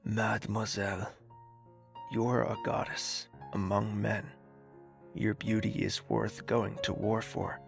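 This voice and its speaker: A man playing a mysterious, suave admirer in a romantic fantasy audio drama, gravelly, courtly and flirtatious. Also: fairly low pitch, level tone, slow pace, faint music behind. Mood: admiring. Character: mysterious suave male admirer, gentle and flattering.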